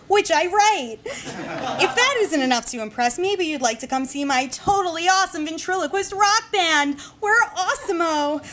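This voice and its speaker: A woman giving a live comic reading of humorous personal essays at a bar, in a dry, deliberate delivery. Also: sits high, fairly bright tone, a little hiss or hum. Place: bar reading event before an audience.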